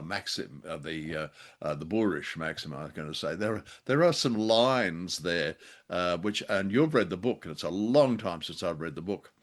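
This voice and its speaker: An older man co-hosting a casual discussion of film and radio drama, with a droll, halting, courteous manner. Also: sits low. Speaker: podcast co-host.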